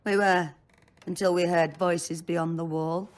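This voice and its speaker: An actress playing a blunt, earthy, world-weary brothel madam in a fantasy video game, speaking in short bursts. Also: female voice, on the low side, steady tone, a little slow, natural voice. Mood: blunt, defensive.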